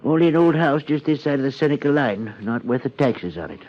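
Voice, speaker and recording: Muffled, folksy small-town official, played by an actor. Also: male voice, medium pitch, animated, brisk, close to an everyday voice. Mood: informative. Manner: folksy, hesitant, earnest. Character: earnest, flustered small-town male town supervisor. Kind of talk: 1950s radio drama acting. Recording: muffled vintage 1950s radio recording.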